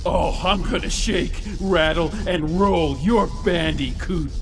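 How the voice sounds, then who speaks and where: A man's voice: a little high, slow pace, loud music behind the voice. A man voicing a cocky, bullying earth elemental in a video game, taunting and belligerent. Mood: taunting, threatening. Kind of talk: video game cutscene acting.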